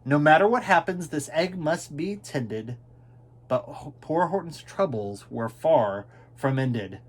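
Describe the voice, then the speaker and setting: A man's voice: fairly high pitch, animated, slow. A man reading a children's story in rhyming verse, with theatrical delivery that dwells on key words. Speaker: storybook reader.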